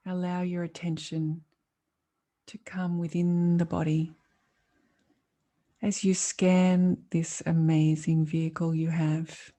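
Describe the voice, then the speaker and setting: Calm lady doing guided meditation, low relaxed voice, soothing, lots of long pauses. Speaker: meditation teacher.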